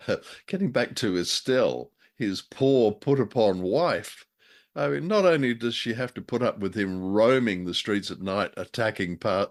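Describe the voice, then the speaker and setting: A man co-hosting a show in casual, bantering chat, with a wry, hesitant and understated way of talking. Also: on the low side. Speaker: co-host of a show reviewing old radio dramas.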